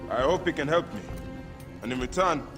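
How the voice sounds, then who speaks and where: A man's voice: on the high side, lively intonation, brisk, over music, lightly acted. An actor playing an earnest, protective and determined desert lawman in a dramatic game cutscene. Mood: concerned.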